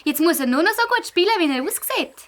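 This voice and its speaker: A woman playing an excitable young fox, easily discouraged, in a children's audio play with a Swiss German accent. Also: very high-pitched, fast talker. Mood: impressed, grateful.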